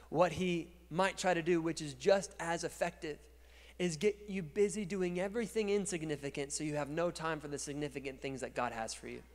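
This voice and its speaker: Male pastor, 30s, higher register, energetic sermon delivery into a handheld mic, echoing church hall. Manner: energetic preaching, gesturing and moving on stage, swings from quiet to loud. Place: large dark church stage. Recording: long reverb of a large church hall.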